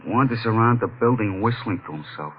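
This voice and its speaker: Man doing scared jumpy janitor, old time radio mystery, nervous hushed talk, tinny sound. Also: fairly low pitch, animated, a little fast, a little hiss or hum, natural voice. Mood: uneasy, gossipy. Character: frightened, jumpy male janitor in a cellar. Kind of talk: 1940s radio mystery acting.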